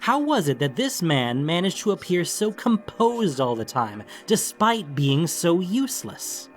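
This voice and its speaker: A man's voice: fairly high pitch, big pitch swings, unhurried. A man reading a scripted fantasy story as an audiobook narrator, in a dramatic storytelling style. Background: background music.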